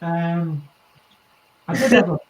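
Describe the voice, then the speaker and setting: A man's voice: fairly high pitch, expressive, takes it slowly, roomy sound, poor audio. A man from East Yorkshire with a Yorkshire accent, hosting a casual group chat and rambling, with small chuckles between thoughts. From East Yorkshire, England. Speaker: host of a model-building chat.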